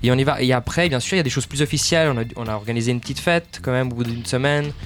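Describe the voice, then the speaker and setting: A man from Portugal, a film director being interviewed, talking fast and searching for words as he explains at length. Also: mid-range voice, fairly even intonation, faint music behind. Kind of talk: interview answers.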